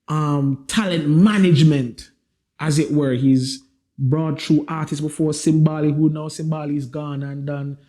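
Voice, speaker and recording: A man hosting a music podcast, introducing his guests with hype and street slang. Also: fairly high pitch. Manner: lively, hyped introductions with slang. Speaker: podcast host. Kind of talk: casual podcast chat.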